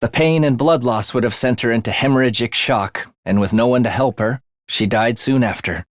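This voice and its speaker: A man's voice: mid-range voice, moderate pace, no room sound, natural voice. Man voicing an eerie, bloodthirsty young student, dry recording. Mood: grim, calm. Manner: sinister, theatrical, savoring morbid details. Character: eerie, bloodthirsty young male academy student, morbidly gleeful. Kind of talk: video game character voice acting.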